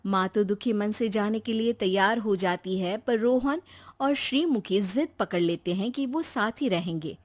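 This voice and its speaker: Female storyteller, medium pitch. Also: moderate pace. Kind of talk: scripted moral story narration.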